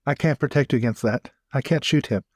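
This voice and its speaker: A man's voice: medium pitch, quick pace, breathy edge, no echo, lightly acted. A man playing an easygoing, dry-witted professor who travels with a lord in a tabletop game. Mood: dry, wry.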